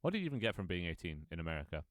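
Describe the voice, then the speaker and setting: A man's voice: sits low, sing-song, very fast, dry recording, studio quality. A man in his twenties streaming his own game, chatting casually with viewers, rambling with frequent ums and restarts. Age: 20s. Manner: rambling, lots of ums and restarts, reads chat aloud. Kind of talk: casual live-stream chat.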